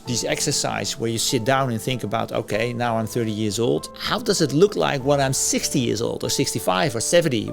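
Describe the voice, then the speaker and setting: A man's voice: medium pitch, creaky. A man, hosting a reaction video and giving earnest advice. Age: 50s. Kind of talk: reflective commentary.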